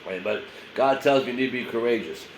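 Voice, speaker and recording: A man's voice: mid-range voice, fast talker. A male pastor with a head cold delivers a short daily sermon in a loose, conversational way, his voice a little nasal and full of hesitations. The recording has a noticeable room echo and a faint hum underneath. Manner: casual, conversational preaching with many fillers.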